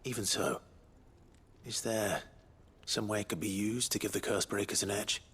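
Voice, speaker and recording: An actor playing a calm, considerate adventurer hero in a video game, with an understated, measured delivery. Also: male voice, lowish voice, slightly rough. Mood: assured. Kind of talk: video game cutscene acting.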